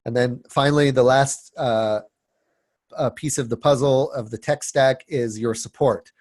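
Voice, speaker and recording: Man, webinar presenter, stuffy nose, mid-pitched voice, dry close sound, lots of ums and restarts. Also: steady tone, unhurried, darkish tone, no echo. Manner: filler-heavy, frequent restarts and repeated words. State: congested from a cold, sniffling.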